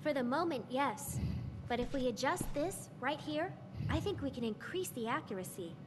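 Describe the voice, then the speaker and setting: An eager young girl in a video game, high and very lively in pitch. Her voice rings in a hall with noise behind it. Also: moderate pace. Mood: helpful, hopeful. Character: eager, bright teenage girl, little sister. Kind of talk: video game cutscene acting. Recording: reverberant hall, clear background noise.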